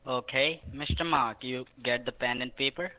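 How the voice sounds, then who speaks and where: A man's voice: medium pitch, steady tone, moderate pace, sharp tone. A man posing as an official on a phone call, asking questions insistently in broken, ungrammatical English.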